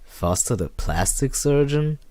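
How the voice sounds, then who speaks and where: A man voicing a skeptical police sergeant in a noir story, with a gruff, mocking manner. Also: medium pitch, lively intonation, unhurried, a little mellow, no room sound, pitched above the performer's own voice, close to an everyday voice. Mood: teasing. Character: teasing, skeptical male police sergeant on the phone.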